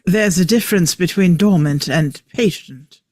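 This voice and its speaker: A man's voice: high-pitched, moderate pace, a little mellow, lightly acted. A man reading the part of a clever, eccentric time traveller at a table read, explaining things with wry emphasis. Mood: serious explaining.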